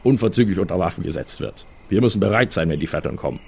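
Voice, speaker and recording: A man's voice: low-pitched, animated, fast talker, creaky, a little mellow, a little hiss or hum. A man improvising in character as a dwarf in a tabletop game, solemn and commanding with formal phrasing. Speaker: role-player playing a dwarf. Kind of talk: improvised in-character role-play.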